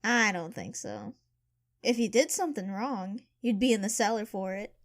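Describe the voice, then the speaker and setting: A woman's voice: mid-range voice, moderate pace, dry recording, studio quality, lightly acted. A woman playing a motherly, kindly bossy female servant in an audio drama. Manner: warm, motherly, lively.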